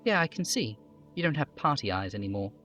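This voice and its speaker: A man's voice: medium pitch, sing-song, a little fast, fairly bright tone, dull audio, soft background music, pitched above the performer's own voice. A man voicing a polite, earnest young girl from a video game, innocent and deferential. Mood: cheerful. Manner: deferential, innocent, matter-of-fact.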